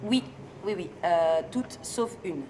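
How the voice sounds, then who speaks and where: A woman from France being interviewed, speaking in a relaxed, thoughtful and hesitant way and laughing easily. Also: lowish voice, takes it slowly. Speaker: interviewee, singer and stage performer. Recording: noisy location recording with ambient sound.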